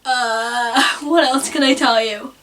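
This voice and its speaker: A woman's voice: a little high, fairly even intonation, takes it slowly, fairly bright tone, distant mic. A woman in her twenties, talking casually to camera in a rambling, self-conscious way, often breaking into giggles. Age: 20s. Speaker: vlogger. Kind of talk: unscripted vlog, casual talk to camera.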